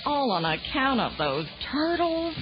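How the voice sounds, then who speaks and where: Lively, determined news reporter. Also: female voice, sits high, measured pace, noisy background. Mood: exasperated. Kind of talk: 1980s children's audio drama acting. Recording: dull lo-fi audio.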